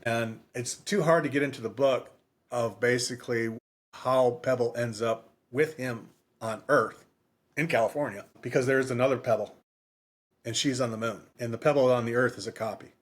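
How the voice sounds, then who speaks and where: A man co-hosting a podcast, talking casually and off the cuff, often repeating himself and rephrasing as he goes. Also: mid-range voice. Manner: rambling, repeats phrases, self-correcting. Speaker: podcast co-host. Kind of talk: casual unscripted book discussion.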